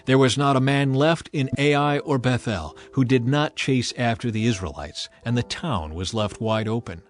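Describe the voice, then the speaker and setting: A man's voice: medium pitch, moderate pace. A man reading scripture as a scripted, dramatized audio Bible narration. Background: background music.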